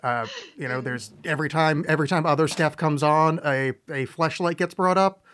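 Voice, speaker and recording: A man's voice: a little high, normal speed, fairly bright tone. A man hosting a podcast in casual chat, joking and self-deprecating as he rambles. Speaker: podcast host.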